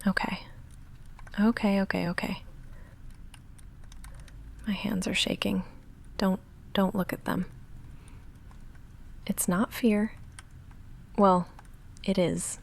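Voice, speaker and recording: Female goth vampire, played by a woman, intimate ASMR roleplay: breathy, heavily creaky, unhurried and halting, intense but holding back. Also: medium pitch. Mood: nervous, restrained. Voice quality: breathy, often whispering, creaky. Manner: halting, hushed, confessional with dry deadpan asides. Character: female goth vampire roommate, lovestruck, restrained, nervously intense.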